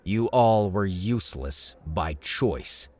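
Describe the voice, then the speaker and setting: A man's voice: sits low, lively intonation, takes it slowly, darkish tone. A man voicing a shrewd, smug young noble in an audiobook, speaking in a glib, formal, commanding way. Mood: measured, charming. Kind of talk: audiobook character dialogue.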